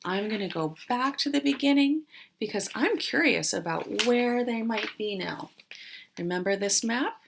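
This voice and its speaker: Slow, lively woman teacher reading aloud. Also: a little high, gravelly, slight background noise. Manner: questioning, explanatory, with pauses for answers. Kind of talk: interactive read-aloud lesson for young children.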